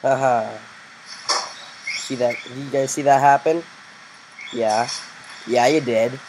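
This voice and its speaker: A man's voice: medium pitch, fairly even intonation, slow pace, background noise. A teenage male gamer hosting, chatting to viewers while playing. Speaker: game channel host. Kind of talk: casual gaming commentary.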